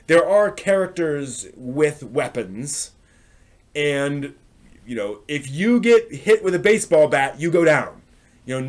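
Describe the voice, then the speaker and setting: A man hosting a live stream, giving casual unscripted review commentary in a halting, discursive way, often circling back to rephrase. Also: mid-range voice. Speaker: host of an anime news and review live stream.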